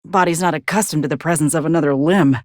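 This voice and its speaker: A woman's voice: low voice, fast talker, darkish tone, dry recording, lightly acted. A woman voicing a tough, dry-witted soldier companion in a video game, blunt and wry with folksy phrasing. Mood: deadpan.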